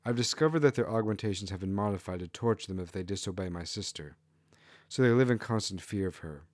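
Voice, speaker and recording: A man's voice: deep voice, quick pace, darkish tone, no room sound, clean studio sound, lightly acted. A man voicing a righteous, compassionate male kitsune friar with an earnest manner in a fantasy story. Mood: concerned. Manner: earnest, morally indignant.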